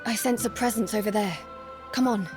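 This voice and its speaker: A woman's voice: medium pitch, fairly quick, music in the background, lightly acted. A woman playing a dutiful, resolute young valkyrie in a fantasy video game, formal, composed and earnest.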